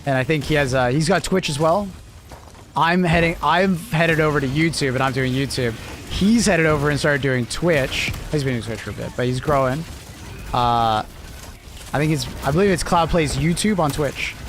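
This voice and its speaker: A man's voice: on the high side, normal speed, a little hiss or hum. A young Canadian man with a mild, near-American accent, chatting casually on a live stream in a loose, rambling way with lots of fillers. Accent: Canadian, close to American. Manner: laid-back, rambling, lots of fillers, chuckles.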